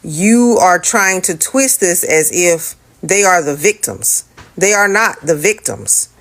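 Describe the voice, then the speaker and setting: Woman lecturing slow n firm, lowish voice, keeps saying okay?. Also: expressive, a bit bright. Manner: insistent, lecturing, repeats "okay?" after each point. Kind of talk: argumentative commentary clip.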